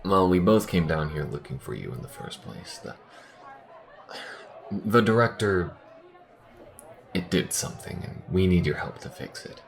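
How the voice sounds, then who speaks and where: A man performs a gruff male alien soldier in an audio drama. The voice sits extremely low with a gravelly creak. The delivery turns halting when the soldier gets flustered. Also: animated, a faint crowd, exaggerated voice. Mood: earnest, uneasy. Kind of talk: scripted audio roleplay acting.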